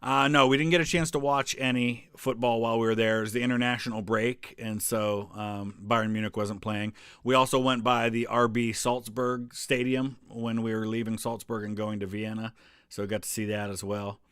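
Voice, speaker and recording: A man's voice: medium pitch, normal speed, smooth, no echo. Rambling casual man on a dry mic. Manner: relaxed, rambling, many ums and restarts. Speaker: history channel host answering live chat. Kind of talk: casual live stream chat over travel photos.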